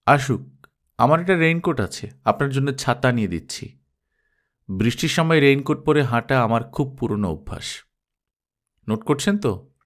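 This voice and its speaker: A man's voice: medium pitch, lively intonation, moderate pace, long pauses, close to an everyday voice. A man voicing a composed young woman boss in an audiobook, speaking in a matter-of-fact, decisive way. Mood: casual, brisk. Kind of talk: audiobook character dialogue.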